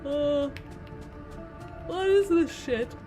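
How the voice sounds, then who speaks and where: A woman chatting casually as she streams a game, informal and reactive, thinking out loud. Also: high-pitched, extremely slow. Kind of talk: casual live gameplay chat. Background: game music.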